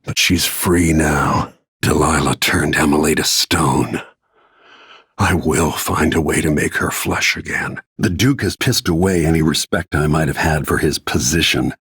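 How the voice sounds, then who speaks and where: A man voicing a grim, battle-worn middle-aged royal protector and assassin in a video game, with terse, brooding, measured delivery and a gravelly, husky voice. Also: a bass voice, a bit bright, no echo, studio quality, exaggerated voice. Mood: grim, resolute. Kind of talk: scripted video game character lines.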